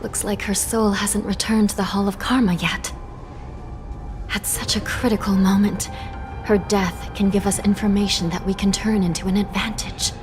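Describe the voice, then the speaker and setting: A woman playing a composed, dutiful official in a video game, measured and serious with a weary edge. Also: mid-range voice, little pitch movement, music in the background, lightly acted. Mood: calm, focused.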